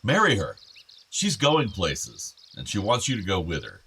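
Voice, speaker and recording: A wistful, meddling older father in a radio drama. His voice sits very low yet moves animatedly, with formal, bookish phrasing. Faint birds and insects sound behind him. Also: wildly swooping pitch, normal speed, gravelly, pushed and bright, slight background noise, heavily acted. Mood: urging. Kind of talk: naturalistic radio play acting.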